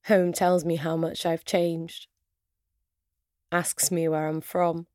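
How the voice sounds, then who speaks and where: A woman with a British accent and Arabic as her first language, reciting spoken word poetry in measured, deliberate phrases with pauses. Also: on the low side, flat delivery, a little mellow, no echo, clean studio sound.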